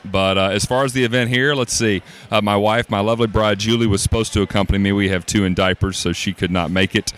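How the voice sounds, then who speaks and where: A man in his thirties, a guest in a casual interview on a busy expo floor, with a low voice and an easygoing, joking way of talking. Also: fairly even intonation, fast talker, a bit bright. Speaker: guest, cookbook author.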